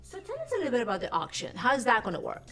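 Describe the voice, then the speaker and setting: A woman's voice: a little high, wildly swooping pitch, rapid-fire, fairly bright tone, faint music behind. A woman from Mexico hosting a studio TV interview, gushing with effusive praise for her guest. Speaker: TV host.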